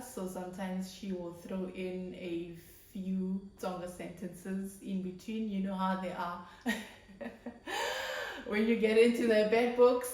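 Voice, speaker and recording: A woman's voice: mid-range voice, a little mellow, echoey room. A South African woman in her thirties, a native Swati speaker, chatting casually and cheerfully as she introduces herself to her channel. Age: 30s. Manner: cheerful, unhurried, laughs often. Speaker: YouTuber. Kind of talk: casual get-to-know-me talk.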